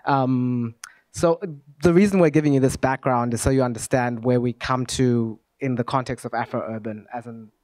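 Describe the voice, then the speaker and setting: A man's voice: medium pitch, fairly even intonation, normal speed, a little mellow, no echo. A man who grew up in Zimbabwe and Ethiopia, co-presenting informally at a conference. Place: conference session.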